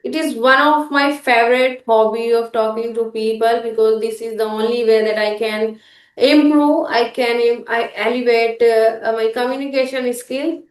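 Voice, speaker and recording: Woman, Indian English accent, highish pitch, hesitant, filler-heavy, roomy echo. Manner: hesitant, many fillers and restarts. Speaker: English learner guest. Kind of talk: practice conversation. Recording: echoey room.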